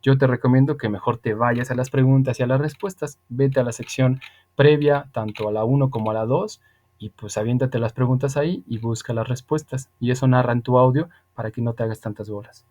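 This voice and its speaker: A man's voice: medium pitch, fairly even intonation, a little fast, darkish tone. A man, an online English teacher explaining things patiently and emphatically, with plenty of repetition. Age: 30s. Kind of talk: explanatory tutoring, casual spoken instruction.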